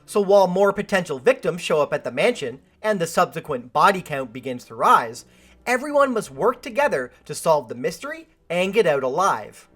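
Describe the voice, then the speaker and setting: Lively woman narrating over music. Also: lowish voice, normal speed, slightly rough. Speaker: host of a film review video. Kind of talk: scripted video essay narration.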